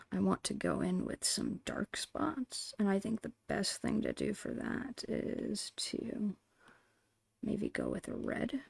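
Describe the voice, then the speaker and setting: A woman murmuring casual ASMR painting commentary in a whispery, creaky voice, unhurried and thinking aloud, close to the mic. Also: medium pitch, a little mellow, no room sound. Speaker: ASMR digital art streamer. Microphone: close microphone, dry.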